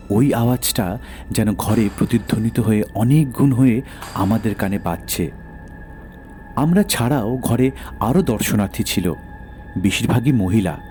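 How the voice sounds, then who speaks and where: A man's voice: on the low side, a little mellow. A man narrating a first-person horror audio story in a dramatic storytelling style.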